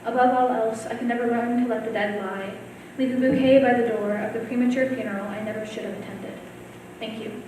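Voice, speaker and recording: A teenage girl, a high school student, reciting her own poem at a reading. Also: steady tone, brisk. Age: teens. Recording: very echoey room, distant mic, faint noise.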